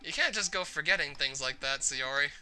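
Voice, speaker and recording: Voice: medium pitch, lively intonation, brisk, pushed and bright, soft background music. A reader as a stern, sarcastic teenage club president. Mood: scolding. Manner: scolding, condescending.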